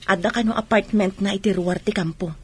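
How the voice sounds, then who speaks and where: A woman's voice: medium pitch, fairly even intonation, quick pace, breathy edge, natural voice. A woman playing a gossipy, probing friend in a radio drama.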